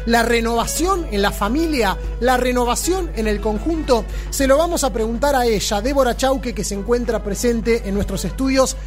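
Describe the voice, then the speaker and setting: A woman's voice: on the high side, moderate pace, few pauses, soft background music. Joking woman radio host. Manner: lively, joking, announcer-like introductions. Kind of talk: radio interview hosting. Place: radio studio.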